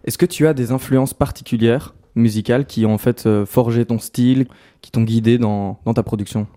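A man hosting a radio interview, asking measured, thoughtful questions. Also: mid-range voice, fairly even intonation, warm tone.

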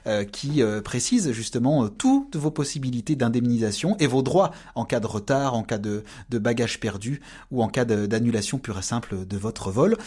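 A man's voice: mid-range voice, expressive, moderate pace, few pauses, darkish tone, husky. A man giving an informative radio segment, explaining steadily with frequent fillers and repeated words. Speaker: radio columnist on European affairs.

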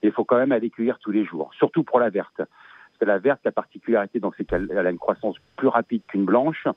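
Brief: Belgian grower in his 50s, low voice, halting with lots of "euh", phoned in, phone-thin sound. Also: husky, no room sound. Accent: Belgian French. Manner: hesitant, many fillers and restarts. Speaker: asparagus grower guest. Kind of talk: radio interview, casual chat. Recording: tinny phone line.

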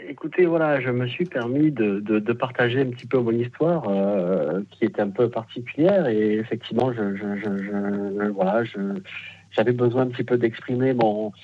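Un homme à l'marseillais qui appelle une radio pour raconter son histoire personnelle, d'une parole hésitante qui répète souvent les mots. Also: male voice, mid-range voice. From southern France. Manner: halting, repeats words. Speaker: caller. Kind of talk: telling a personal story on a call-in. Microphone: phone line. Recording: dull phone line.

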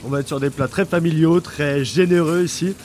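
A man who works as a cook, giving short, calm answers in an interview. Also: a little high, a little fast, slight background noise.

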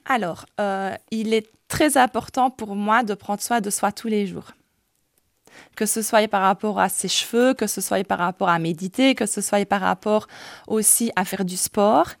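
Earnest woman with a gravelly voice. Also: medium pitch, normal speed, no echo, studio quality. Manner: earnest, hesitant with many "euh". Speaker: hairdresser and salon director. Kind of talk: radio interview guest.

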